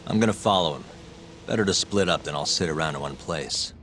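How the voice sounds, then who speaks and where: Deep-voiced, cool-headed male detective. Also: fairly quick, a bit bright, a little hiss or hum. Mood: cool, matter-of-fact. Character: calm, determined male detective planning a stakeout. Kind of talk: English video game cutscene acting.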